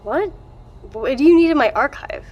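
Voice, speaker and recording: A skeptical, insistent character in an audio drama. Her voice is somewhat high, and she speaks bluntly, with a wry, conversational edge. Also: animated, normal speed, dull audio. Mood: suspicious, curious.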